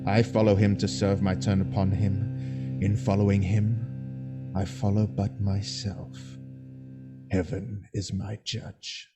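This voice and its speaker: An actor voices a scheming soldier in a Shakespearean audio drama, his voice on the low side. He speaks with deliberate, theatrical resentment, and prominent plucked guitar music plays behind him. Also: level tone, gravelly. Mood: bitter, scornful. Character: bitter, scheming male soldier plotting against his master.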